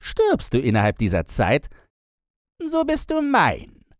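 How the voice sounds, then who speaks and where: Sly, wildly swooping storybook Devil. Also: male voice, fairly high pitch, huge pitch swings, dry recording, studio quality, pitched above the performer's own voice, full character voice. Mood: commanding, bargaining. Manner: theatrical, drawn-out, swooping delivery. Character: sly, bargaining male Devil, mocking and commanding. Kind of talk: fairy-tale audiobook character reading.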